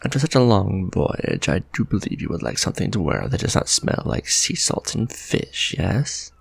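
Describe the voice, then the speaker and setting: A refined, possessive vampire lord, played by a man, muses in formal speech while tenderly addressing the listener. His voice is deeply gravelly and husky, with pitch that swoops widely. This is an ASMR romantic roleplay. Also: wildly swooping pitch, normal speed, no room sound, full character voice. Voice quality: gravelly, husky, soft-spoken.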